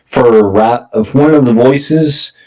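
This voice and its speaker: A man co-hosting a podcast in casual discussion, talking haltingly and repeating words as he searches for thoughts. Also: mid-range voice, a little mellow, poor audio. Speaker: podcast host.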